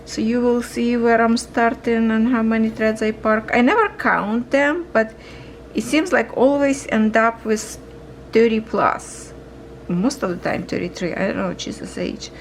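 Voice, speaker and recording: Slow-talking woman with a gravelly, kinda hoarse voice, chatting to viewers while she stitches, faint hiss behind. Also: a little high, steady tone, low-quality recording. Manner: slow, musing, talks to viewers while working. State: losing her voice, coughing. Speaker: cross-stitch vlogger. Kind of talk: casual chat while stitching.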